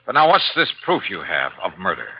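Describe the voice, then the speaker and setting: A wry, skeptical detective. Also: male voice, sits low, huge pitch swings, vocal fry, husky, heavily acted. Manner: dry, quick-tempered, skeptical. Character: wry, brisk insurance investigator. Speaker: narrator and lead detective. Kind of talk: 1950s radio drama acting and narration. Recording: dull old radio recording with music.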